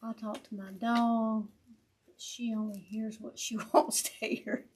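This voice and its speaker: A woman with a raspy voice, chatting casually with viewers as she hosts a live craft tutorial. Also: a little high, little pitch movement, a little slow, darkish tone. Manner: chatty, laughs often, talks to viewers as y'all.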